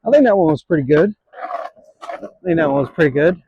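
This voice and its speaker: A man's voice: on the high side, animated, fairly quick, breathy edge, lo-fi sound. A man in his late twenties filming a casual skateboarding vlog, rambling and self-deprecating, swearing now and then. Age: 20s. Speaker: skateboarding vlogger.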